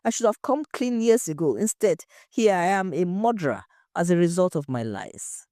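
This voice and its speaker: A woman's voice: fairly low pitch, lively intonation, normal speed, dark tone, no echo, clean studio sound, close to an everyday voice. A woman voicing a tearful, guilt-ridden wife in an audio story, pleading and faltering as she confesses. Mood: anguished confession. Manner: wailing, pleading, faltering repetitions.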